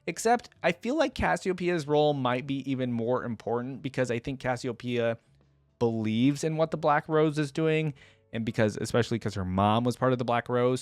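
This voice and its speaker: A man's voice: medium pitch, dry recording. Casual man rambling through fan commentary, dry sound. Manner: rambling, conversational, thinking aloud. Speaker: YouTube reaction host.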